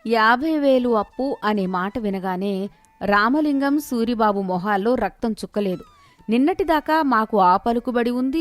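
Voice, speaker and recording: A woman storyteller giving an expressive scripted narration of a moral tale. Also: a little high.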